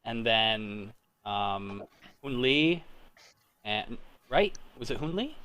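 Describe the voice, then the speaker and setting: A man's voice: mid-range voice, expressive, slow pace, bright, forceful tone, smooth. A man in his thirties hosting a casual group chat, talking in an informal, rambling way and often interrupting himself. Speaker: host.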